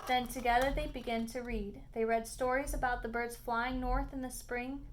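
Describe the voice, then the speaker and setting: A woman reading a children's picture book aloud in an expressive, deliberate narrating style. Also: fairly high pitch, a little hiss or hum. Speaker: storybook reader.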